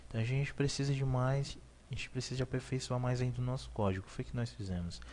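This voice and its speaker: A man's voice: mid-range voice, steady tone, fast talker, darkish tone, a little hiss or hum. A young man, teaching a beginner coding lesson over a screen recording, explaining in a repetitive way, correcting himself and asking "ok?" to check in. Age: 20s. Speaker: programming instructor.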